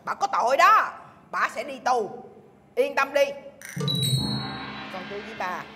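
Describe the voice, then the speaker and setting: A woman's voice: high voice, sing-song, measured pace, bright, forceful tone, big-room sound, heavily acted. An actress playing a friend who coaxes and soothes a crying woman. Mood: soothing. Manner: coaxing, soothing.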